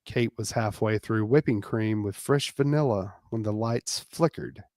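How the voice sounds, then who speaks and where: Male narrator, dramatic romance reading, gravelly, unhurried, dry close recording. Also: lowish voice, darkish tone, no room sound.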